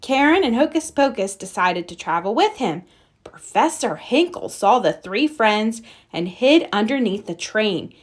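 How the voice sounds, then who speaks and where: A woman narrates a children's storybook. Her voice sits high and moves around a lot, giving an expressive read-aloud delivery. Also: measured pace. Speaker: storybook reader.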